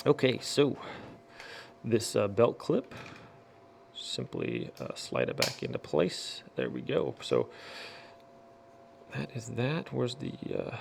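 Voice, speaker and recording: A man with a gravelly, creaky voice and a medium pitch talks casually through a product unboxing. He has a folksy, muttering way of thinking aloud while he works. There is faint noise behind him. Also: sing-song, slow pace, long pauses. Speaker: unboxing video host.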